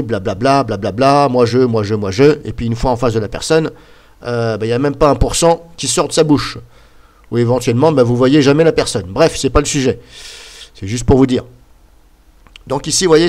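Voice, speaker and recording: Male YouTuber, slight cold, rambling casual explainer, middle pitch. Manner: rambling, chatty, full of "bah" and "voilà", points at the screen. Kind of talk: casual screen-recording explainer.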